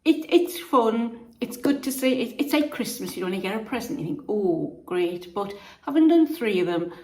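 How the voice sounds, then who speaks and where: A chatty woman with a croaky voice from feeling under the weather. She talks in a homely, rambling way with lots of restarts, at an ordinary pitch for a woman, and her voice rings in an echoey room. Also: lively intonation, moderate pace, dark tone. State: croaky from being unwell. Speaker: vlogger. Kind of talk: casual chat to camera.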